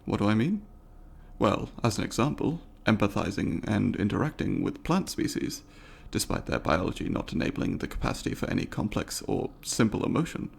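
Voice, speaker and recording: A man voicing a curious, courteous alien researcher in an ASMR roleplay, speaking formally and deliberately, with academic wording and a gravelly voice. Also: on the low side, big pitch swings, full character voice. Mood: earnest, puzzled. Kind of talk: ASMR roleplay, scripted monologue to the listener.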